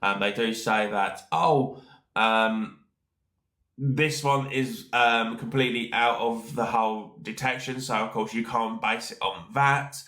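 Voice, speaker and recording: Stuffy-nosed guy rambling about games, tons of ums, mid pitch, echoey room. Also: a little slow, pushed and bright. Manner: rambling, many fillers and "of course". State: nasal from hay fever. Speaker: gaming channel host. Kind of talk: casual solo podcast talk.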